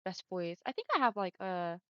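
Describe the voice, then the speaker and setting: A young woman streamer chatting casually with her viewers, friendly and often laughing, with a smooth voice on the deeper side for a woman. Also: animated, moderate pace, no room sound. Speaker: livestreamer. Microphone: headset mic. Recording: dull lo-fi headset mic.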